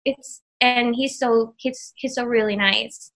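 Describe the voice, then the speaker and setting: A woman's voice: on the high side, steady tone, takes it slowly, a bit bright. A woman in her thirties from the Philippines whose first language is Tagalog, speaking with a Filipino accent in a casual interview, hesitant with many fillers and restarts. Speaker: graduate of an online program.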